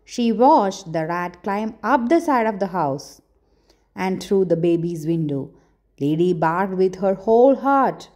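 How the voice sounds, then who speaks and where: A woman storyteller reading a children's storybook aloud, with deliberate, expressive phrasing and dramatic pauses. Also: medium pitch. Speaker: storyteller, channel host.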